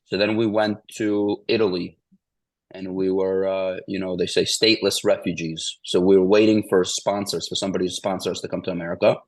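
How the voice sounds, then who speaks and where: Forties male guest from Ukraine; deep, even delivery with little movement, meandering with restarts. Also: brisk, dry recording, low-quality recording. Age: 40s. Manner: meandering, restarts, repeats "the bottom line is". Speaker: interview guest. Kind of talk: casual interview storytelling.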